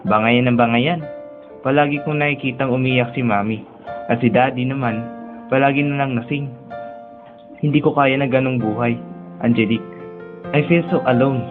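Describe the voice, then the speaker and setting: A narrow, phone-like recording with clear music behind carries a gentle young man played by an actor. His mid-pitched voice has the confiding delivery of a lonely dreamer in a radio drama. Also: close to an everyday voice. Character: gentle, lonely young dreamer, male, escaping troubled home.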